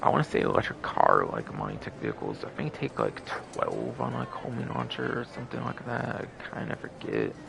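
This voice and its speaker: A man's voice: mid-range voice, huge pitch swings, brisk, creaky, hardly any pauses, noisy background. A man hosting a game livestream with casual, rambling, repetitive commentary.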